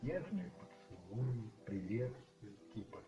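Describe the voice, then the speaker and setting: A man narrating a radio play as dramatic literary storytelling, musing and rhetorical, with dramatic pauses. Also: mid-range voice, very animated. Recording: roomy, slightly muffled, with music behind.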